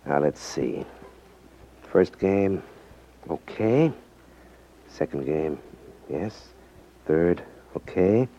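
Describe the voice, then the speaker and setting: Sly trickster, swinging pitch, muffled radio. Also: male voice, expressive, extremely slow, pauses often, mellow, a little hiss or hum, heavily acted. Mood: sly, self-assured. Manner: coy, unruffled, feigns innocence. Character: sly, cool, confident male trickster. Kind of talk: 1950s radio drama acting. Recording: muffled 1950s radio recording.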